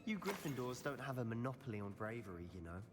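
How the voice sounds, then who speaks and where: A man's voice: medium pitch, big pitch swings, quick pace, noisy background. An actor playing a curious young male Slytherin student wizard.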